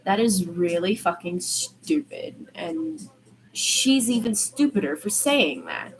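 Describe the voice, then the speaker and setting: A woman's voice: medium pitch, sing-song, slow pace, low-quality recording. A woman reading aloud as a blunt, loyal young woman with a defensive edge. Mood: indignant.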